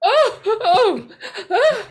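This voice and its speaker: A woman's voice: very high-pitched, big pitch swings, extremely slow, heavily acted. A woman playing a dying, hysterical young woman who falters and wails in a live radio melodrama. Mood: agonized terror. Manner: wailing, faltering. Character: dying, hysterical young Englishwoman gasping a last warning. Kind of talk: live old-time radio melodrama acting.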